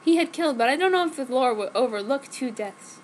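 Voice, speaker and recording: A woman's voice: high-pitched, animated, brisk, slight background noise. A woman giving a theatrical, comic dramatic reading of fan fiction, delivering misspelled words exactly as written. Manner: theatrical, reads misspellings literally.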